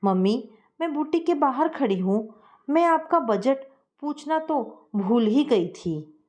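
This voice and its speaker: A woman's voice: high-pitched, expressive, slow pace, darkish tone. A woman reading as a cheerful rich young bride. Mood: breezy.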